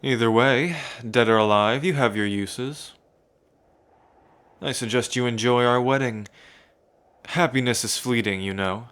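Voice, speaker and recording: A man's voice: medium pitch, unhurried, pauses often, a bit bright, clear, resonant tone, close to an everyday voice. A man playing an arrogant, sadistic would-be emperor in an ASMR roleplay, with a pompous, gloating manner. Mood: menacing, smug. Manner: pompous, gloating, with frequent smug chuckles. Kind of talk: ASMR roleplay villain monologue.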